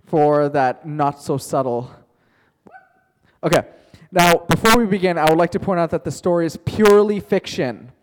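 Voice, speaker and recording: A man's voice: on the high side, normal speed, in a large hall, low-quality recording. A male teacher telling a playful made-up story to his class, chatty and joking, calling on students by name.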